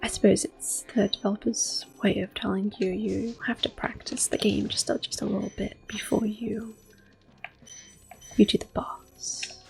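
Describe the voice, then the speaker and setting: Soft breathy woman whispering game commentary. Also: medium pitch, low-quality recording. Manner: gentle, relaxed, unhurried. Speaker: host of a gameplay series. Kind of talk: soft-spoken ASMR gameplay commentary with whispers. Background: soft video game music.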